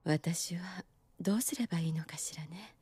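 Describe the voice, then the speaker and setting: A woman playing a composed adult nurse in anime, speaking in a gentle, coy and wistful manner. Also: lowish voice, moderate pace, slightly rough, dry recording. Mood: wistful, resigned. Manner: gentle, coy, feminine phrasing. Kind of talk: anime drama dialogue.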